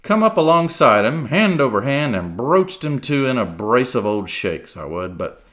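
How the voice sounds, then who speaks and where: A man's voice: medium pitch, very animated, normal speed, fairly bright tone, slight background noise, pitched above the performer's own voice. A man reading aloud and voicing a cunning, one-legged pirate cook who blusters in salty sea talk. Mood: wheedling.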